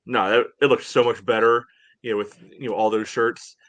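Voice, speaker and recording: A man's voice: medium pitch, steady tone, moderate pace, a bit bright, no room sound. A man co-hosting a sports podcast, talking casually in short bursts broken up by filler phrases. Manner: peppers talk with "you know". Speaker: soccer podcast co-host.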